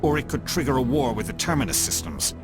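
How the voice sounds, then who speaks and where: A stern alien statesman, acted by a man in a video game. His voice is mid-pitched, formal and authoritative, with a sceptical, dismissive edge. Music is clearly audible behind him. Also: sing-song, fast talker, close to an everyday voice.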